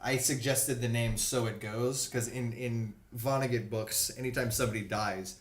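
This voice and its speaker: A man's voice: mid-range voice, steady tone, normal speed. A man co-hosting a podcast, telling a loose, profane story with lots of laughter. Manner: loose, profane, laughs often, does loud mock voices. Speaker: podcast co-host. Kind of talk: casual chat, storytelling. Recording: roomy echo.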